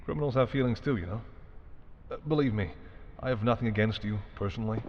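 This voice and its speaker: A man's voice: fairly low pitch, quick pace, lo-fi sound, natural voice. A man playing an apologetic, wry and courteous male mafia boss in an audio roleplay, speaking conversationally and sardonically straight to the listener. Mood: wry, businesslike. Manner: conversational, sardonic, exasperated, softening into polite charm.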